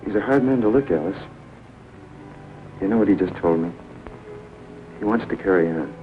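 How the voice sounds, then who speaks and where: Very deep male voice, grave devoted doctor, tender, restrained, 1940s melodrama, narrow-band soundtrack. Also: animated, normal speed, faint music behind. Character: grave, gentle young doctor and devoted son. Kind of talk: 1940s film melodrama acting.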